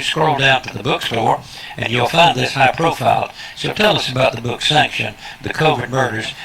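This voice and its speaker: A man's voice: mid-range voice, gravelly, a bit bright, slight background noise, poor audio. A man hosting an interview programme, speaking in a formal, emphatic, preacherly way with addresses to his listeners. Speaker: host interviewer. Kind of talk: broadcast interview with formal introductions.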